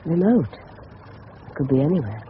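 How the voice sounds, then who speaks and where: An actor playing a polite, earnest and anxious English schoolboy in a radio drama. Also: male voice, fairly high pitch, very animated, normal speed. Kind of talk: 1970s radio drama acting. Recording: muffled, noisy old radio broadcast.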